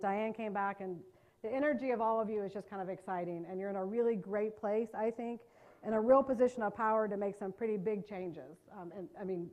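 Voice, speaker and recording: Fast-talking Southern woman presenter. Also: mid-range voice, a little mellow. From North Carolina. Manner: fast-talking, chatty, self-deprecating jokes. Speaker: trauma care trainer. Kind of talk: presentation to a live audience. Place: meeting room with an audience.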